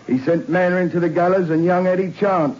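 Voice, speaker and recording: A man's voice: sits high, steady tone, brisk, darkish tone, lightly acted. An actor playing a loyal male prison warder who answers his governor respectfully and matter-of-factly in a 1960s radio drama. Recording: dull lo-fi old radio recording with faint hiss.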